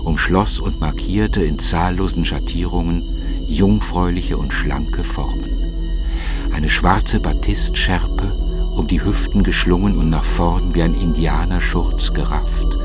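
A man giving a measured, solemn scripted narration of a literary audiobook. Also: low-pitched. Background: music.

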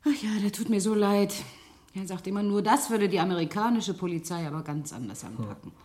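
A woman's voice: medium pitch, brisk, darkish tone, lightly acted. An actress in a German radio play as a wholesome, optimistic young woman who is flustered, hesitant and effusive. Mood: chatty.

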